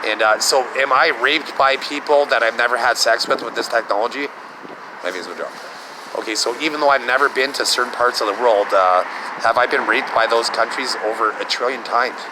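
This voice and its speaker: A man in a middle pitch rambles through a self-recorded monologue, stumbling over fillers and restarting his sentences. He keeps asking himself questions and answering them. Traffic and street noise sit clearly behind his voice outdoors. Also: fairly quick. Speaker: vlogger.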